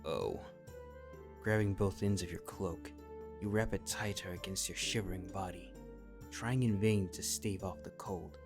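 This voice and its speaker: Male narrator, low register, scripted fan fiction storytelling addressed to "you", light music bed. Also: steady tone, normal speed.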